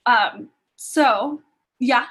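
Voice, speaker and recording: A woman moderates a panel in a polite, facilitating way, reading out questions and inviting the panelists to answer. Her voice sits somewhat high. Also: drawn-out words, fairly bright tone, slightly rough.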